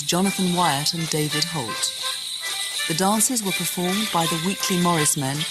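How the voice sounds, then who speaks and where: A woman with a British accent reading scripted closing credits as a radio announcer. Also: lowish voice. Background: traditional music.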